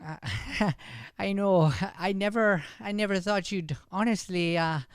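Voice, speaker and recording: A man's voice: sits high, takes it slowly, clean tone, no room sound. Man playing a stammering, shy Irish schoolboy. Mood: bashful, earnest. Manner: stammering, flustered, hesitant. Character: shy, smitten fourteen-year-old Irish schoolboy. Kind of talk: improvised old-time radio comedy play.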